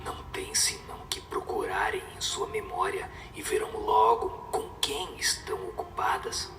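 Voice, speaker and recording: A man voicing a stern superior spirit in a breathy whisper, giving solemn, severe moral counsel in an audio drama. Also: fairly low pitch, wildly swooping pitch, moderate pace, sharp tone, roomy sound, voice pushed down, heavily acted. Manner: solemn, admonishing. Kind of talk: Portuguese-language audio drama of a spiritist book.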